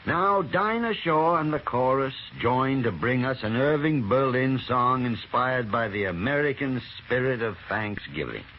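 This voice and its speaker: A man's voice: medium pitch, lively intonation, measured pace, hardly any pauses. A man announcing acts on a 1940s radio variety broadcast. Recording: muffled, noisy old radio broadcast.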